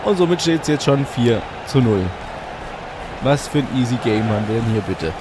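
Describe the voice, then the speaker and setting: A man with a slight cold hosting let's play commentary on a football video game from a hot room at home, getting excitable and shouting at goals. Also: mid-range voice, normal speed, a crowd in the background. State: a bit congested with a cold. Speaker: gaming channel host. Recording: audible fan noise.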